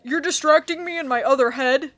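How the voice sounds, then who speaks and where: A woman's voice: high-pitched, brisk, a bit bright, faint music behind, voice pushed up. Woman putting on a disguised, reasoning girl. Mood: placating. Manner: disguised, placating.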